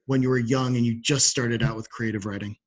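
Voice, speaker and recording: A man's voice: medium pitch, fairly even intonation, a little fast. A man hosting a conversational podcast interview, speaking in a supportive, slightly hesitant way.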